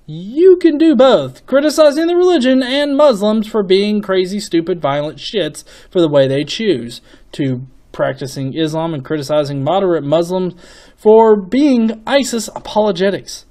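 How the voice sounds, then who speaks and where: A man giving off-the-cuff opinion commentary as a video host, in a casual, sweary manner with halting, repeated words. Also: high-pitched, lively intonation, warm tone. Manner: casual, profanity-laden.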